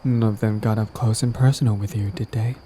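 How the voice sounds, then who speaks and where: A man's voice: on the low side, steady tone, fairly quick, mellow, a little hiss or hum, lightly acted. A man playing a lovestruck, persistent villain in an intimate romantic audio roleplay, talking casually and coaxingly to the listener, smug yet earnest. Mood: hopeful, flirtatious.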